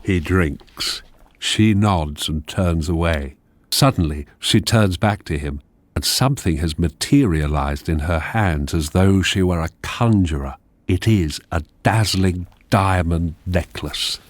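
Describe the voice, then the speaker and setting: A man narrating a radio drama in a measured storytelling manner, reading out the scene directions. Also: deep voice, dry recording. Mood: measured, suspenseful.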